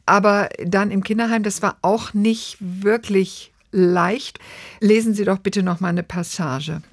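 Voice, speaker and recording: A woman's voice: medium pitch, steady tone, measured pace, dry recording. Dry-sounding attentive woman interviewer. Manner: attentive, summarizing, prompting. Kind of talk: interview questions.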